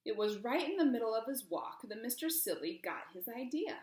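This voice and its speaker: Animated woman storyteller in an echoey room. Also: fairly high pitch, moderate pace. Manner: emphatic, addresses young listeners with questions. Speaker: storyteller reading for children. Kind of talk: expressive read-aloud of a children's storybook. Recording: roomy, echoing room.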